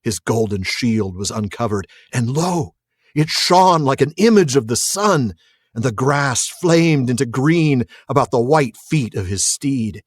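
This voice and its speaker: A man's voice: medium pitch, expressive, unhurried, breathy edge, no echo. A man hosting a podcast, moving between casual talk and dramatic reading of fantasy prose. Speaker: podcast host.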